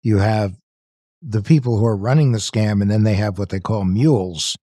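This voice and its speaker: A man's voice: on the low side, normal speed, dark tone, no room sound. A man co-hosting a podcast, talking through questions and analysis in a conversational way. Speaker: podcast co-host.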